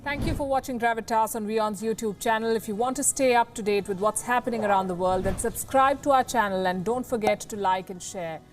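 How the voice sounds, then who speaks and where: A woman with a fairly high voice presents scripted news. Her delivery is emphatic and dramatic, and she sets key phrases apart with deliberate pauses. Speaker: news anchor.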